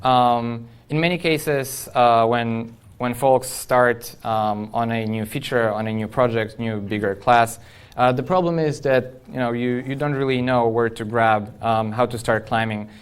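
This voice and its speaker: A man speaks as an engineer presenting at a tech conference. His voice is clean and resonant at an average male pitch. He talks informally and with firm opinions, putting his points straight to the listeners. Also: steady tone, measured pace, clean tone. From Poland. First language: Polish. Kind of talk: informal technical conference talk.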